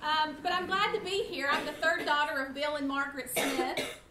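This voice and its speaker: A woman in her fifties, loud and animated, teaching a church class to a seated group. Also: high voice, normal speed. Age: 50s. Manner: loud, animated, chatty with the room. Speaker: guest Bible class teacher. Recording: roomy echo, faint room noise.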